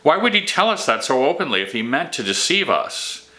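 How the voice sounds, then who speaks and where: A man's voice: on the high side, big pitch swings, a little fast, bright, forceful tone, voice pushed up. A man voicing a king's loyal, trusting brother in a fantasy audiobook, earnest and reasoning. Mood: reassuring.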